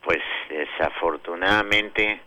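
A man's voice: sits low, slow, bright, forceful tone, slight background noise. A man with a Mexican accent doing teasing comic banter as a show's sidekick. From Zacatecas, Mexico. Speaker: show sidekick.

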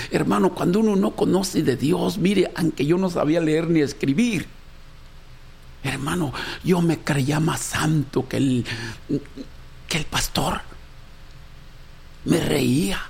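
Latin american guy preaching, raspy creaky voice kinda high, keeps exhorting and repeating himself. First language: Spanish. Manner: repetitive, exhortative, addressing listeners as brother and sister. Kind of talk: impassioned extemporaneous sermon.

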